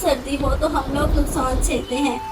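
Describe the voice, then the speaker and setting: Woman voicing a high-pitched, fretting cartoon mother bird. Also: steady tone, moderate pace, far from the mic, very noisy, lightly acted. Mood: weary complaint. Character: worried, careful mother bird in a poor family. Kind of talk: Hindi children's cartoon story acting.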